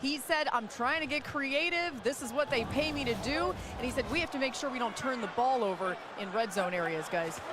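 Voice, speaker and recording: A woman's voice: high voice, expressive, fairly quick, hardly any pauses. A woman giving a live sideline report from a football stadium. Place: on the field at a stadium. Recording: sideline broadcast with clear stadium noise. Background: stadium noise.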